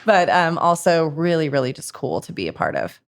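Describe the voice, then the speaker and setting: A woman's voice: low voice, very animated, fairly quick, vocal fry. A woman who manages stadium tours and sustainability, speaking enthusiastically and conversationally in an interview. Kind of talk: interview remarks.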